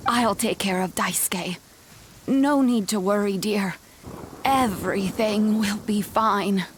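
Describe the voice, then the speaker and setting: Actress as kindly caretaker. Soft female delivery, slow pace, faint noise underneath. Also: medium pitch, natural voice. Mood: reassuring. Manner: soft, reassuring. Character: gentle, soothing caretaker woman. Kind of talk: video game voice acting.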